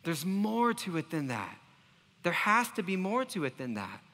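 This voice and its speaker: A high-voiced man preaches with an earnest, self-deprecating manner, often stumbling into restarts. His tone is bright and forward, and a long reverb trails behind his words. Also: very animated, moderate pace. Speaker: pastor. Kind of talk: sermon.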